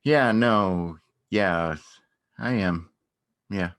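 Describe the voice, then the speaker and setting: Low-pitched male actor as a shy beekeeper; halting, understated, earnest stage dialogue. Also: a bit bright, full character voice. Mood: nervous, polite. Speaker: amateur or local theatre actor. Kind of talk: naturalistic dramatic reading of a stage dialogue.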